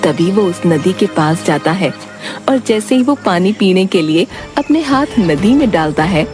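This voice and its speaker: A woman's voice: on the low side, unhurried. Woman narrating a storybook. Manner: steady, storytelling. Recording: background music.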